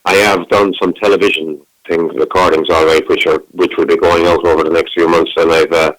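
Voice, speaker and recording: Brief: low-pitched middle-aged man on the phone, dry sound, rambling guest chat. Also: level tone, brisk, no room sound, low-quality recording. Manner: rambling, warm self-talk with many restarts. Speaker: singer, interview guest. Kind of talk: casual phone interview.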